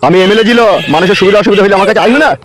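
A man voicing a foul-mouthed, jealous village politician in a comedy cartoon, gruff and scolding. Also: high-pitched, fairly even intonation, rapid-fire, very noisy, lightly acted. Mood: jealous, threatening. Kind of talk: amateur comedy cartoon dialogue.

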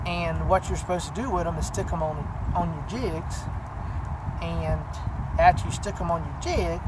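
A man talks casually into a close camera outdoors, showing fishing tricks. He rambles and fills gaps with "you know", his voice sitting a little high. Clear outdoor noise runs under the recording. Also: normal speed. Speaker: fishing channel host. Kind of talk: casual how-to demonstration. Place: outdoors by a pine wood. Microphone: camera close at arm's length. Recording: noisy outdoor camera audio.

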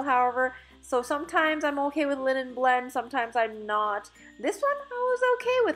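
An upbeat woman in her thirties talks through clothes she is trying on, chatty and casual, with the odd "uh" and self-correction. She records on her phone in a small dressing room. Soft store music plays faintly in the background. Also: medium pitch. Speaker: fashion vlogger. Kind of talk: casual try-on haul commentary. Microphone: phone camera held in mirror.

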